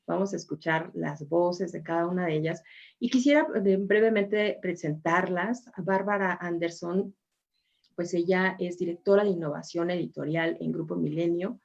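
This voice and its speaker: Woman moderator, gravelly, muffled call audio, informal. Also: lowish voice, normal speed. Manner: informal, warm introductions with fillers. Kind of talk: webinar moderation. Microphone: video call. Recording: dull lo-fi video call audio.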